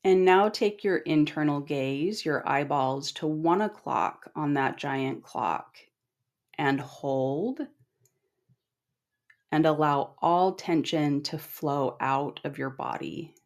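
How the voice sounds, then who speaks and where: Slow, low-voiced woman guiding meditation. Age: middle-aged. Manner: calm, gentle, instructing with long pauses. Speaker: meditation guide. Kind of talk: guided body scan meditation.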